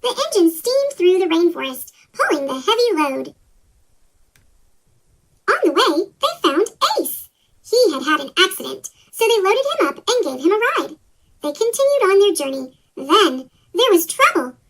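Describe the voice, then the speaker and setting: A woman reading a children's story aloud as scripted narration, her voice sped up with a chipmunk pitch-shift effect. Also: sing-song, moderate pace, rough recording.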